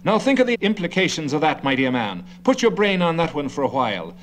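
Brief: man voicing a gabby, condescending know-it-all older man, pitched a bit high, audiobook reading with faint hum. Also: sing-song, brisk. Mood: chattering. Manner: chattering, lecturing, condescending.

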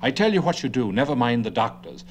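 A man's voice: medium pitch, big pitch swings, quick pace, a little hiss or hum, natural voice. An actor playing a fussy, condescending older man who chatters and lectures endlessly. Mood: lecturing. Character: fussy know-it-all older man, gabby.

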